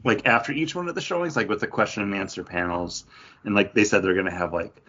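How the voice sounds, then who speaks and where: Male podcast co-host, husky and on the low side, dull lo-fi sound, casual chat. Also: quick pace, bright, forceful tone. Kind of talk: casual pop-culture chat.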